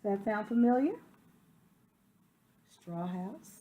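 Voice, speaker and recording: A woman's voice: mid-range voice, takes it slowly, phone-quality sound. A woman teacher chatting to children during a read-aloud, often putting questions to her listeners. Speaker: sixth grade teacher reading aloud.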